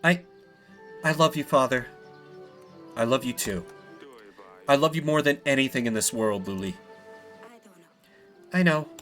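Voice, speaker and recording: Video game princess, male performer: eldest royal daughter, loving, sorrowful, hesitant, soft delivery. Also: mid-range voice, a little slow, pauses often, faint music behind, natural voice. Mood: sad, tender.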